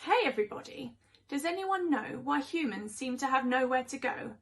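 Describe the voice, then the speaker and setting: Opinionated house cat for rhyming storybook, played by a woman: high voice, conversational, gossipy, expressive. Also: normal speed. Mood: grumbling. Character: chatty, opinionated house cats gossiping about their humans.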